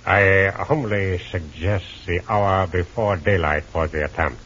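Deep, husky, courtly old sage. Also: male voice, measured pace, a bit bright. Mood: courtly, resigned. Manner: ornate, humble, formal. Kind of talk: 1940s radio serial acting. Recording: muffled old radio recording.

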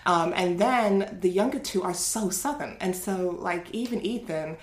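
A woman raised in London, now in Georgia, with an accent that mixes London and New York, chatting as a guest and agreeing warmly. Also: fairly low pitch, measured pace, echoey room. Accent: mix of London English and New York. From London, England. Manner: agreeable, repeats affirmations. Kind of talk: casual church interview chat.

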